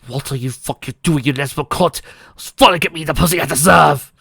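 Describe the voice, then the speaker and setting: A man voicing a vicious possessed teenage girl in a lewd, menacing, hoarse whisper. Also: fairly low pitch, normal speed, fairly bright tone, dry recording, studio quality, full character voice. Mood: snarling. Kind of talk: fan fiction reading.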